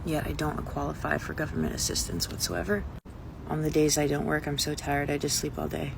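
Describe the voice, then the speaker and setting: A man's voice: fairly high pitch, brisk, creaky, background noise. An American man giving emphatic first-person testimony about his life. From United States.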